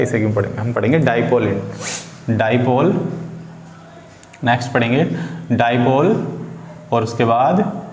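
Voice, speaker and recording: A man's voice: medium pitch, darkish tone. Man, informal teacher, repeats key words, self-answered questions, English terms mixed in, roomy echo, faint noise. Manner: mixes Hindi with English technical terms. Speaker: chemistry teacher. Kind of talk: informal classroom-style lesson for students.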